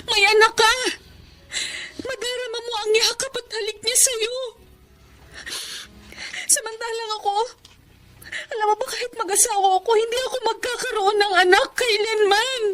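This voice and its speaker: An actress with a Filipino accent playing a tender, earnest young nanny in an emotional radio drama. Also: female voice, extremely high pitch, fairly even intonation, normal speed, long pauses, fairly bright tone. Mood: tearful pleading. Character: tender, pleading young nanny telling her life story.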